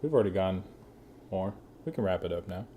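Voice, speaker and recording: A man's voice: low-pitched, animated, fairly quick, phone-quality sound, a little hiss or hum. A young man co-hosting a podcast, chatting casually, swearing often and calling his friend dude. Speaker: podcast co-host. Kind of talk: casual chat.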